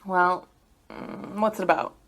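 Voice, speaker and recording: A skeptical, practical woman academic in an audio drama, played by an actress. Her voice sits a little low, and she has a dry, questioning way of talking. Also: fairly even intonation, a little slow, a bit bright, a little hiss or hum. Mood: skeptical, puzzled.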